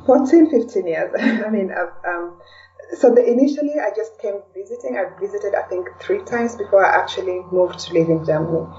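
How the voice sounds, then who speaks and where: A woman's voice: mid-range voice, a little fast, dark tone, far from the mic, a little hiss or hum. A woman from Kenya with a Kenyan accent, answering interview questions casually and a little hesitantly, recorded away from the microphone with a dull sound. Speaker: podcast guest, blogger and podcaster.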